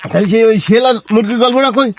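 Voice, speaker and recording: A man playing a compulsive, excitable village storyteller in a comedy skit, insistent and repetitive, with a rural Bangladeshi Bengali accent. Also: very high voice, quick pace. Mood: boastful, animated. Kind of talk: comedy skit acting with colloquial dialogue. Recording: clearly noisy recording with clicks.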